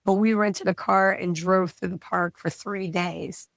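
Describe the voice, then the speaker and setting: A woman from the United States tells travel stories with eager excitement while fighting a cold that is wearing down her voice. She is recorded dry and close. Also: medium pitch, measured pace, gravelly, no echo. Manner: excited storytelling. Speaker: guest. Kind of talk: casual chat.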